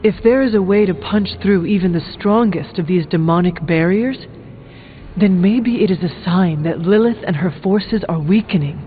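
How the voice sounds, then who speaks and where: A resolute female warrior played by an actress talks in formal, dryly confident lines for a video game. Her voice is on the low side. The audio is narrow and phone-like, with faint music behind. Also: moderate pace, lightly acted. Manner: formal, determined diction. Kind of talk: video game character dialogue. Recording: thin band-limited game audio, background music.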